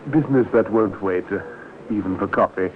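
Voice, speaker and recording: An actor playing an amiable, effusively polite gentleman charmer in a 1950s radio drama. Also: lowish voice, fairly quick, close to an everyday voice. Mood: charming, apologetic. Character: amiable, courtly gentleman charmer, smoothly evasive. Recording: tinny, noisy 1950s radio recording.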